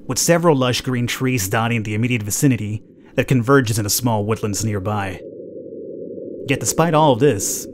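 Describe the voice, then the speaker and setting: A man with a medium-pitched voice reads a visual novel aloud for a let's play. His delivery is that of a dramatic storyteller. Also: noisy background. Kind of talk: reading game narration aloud, with commentary.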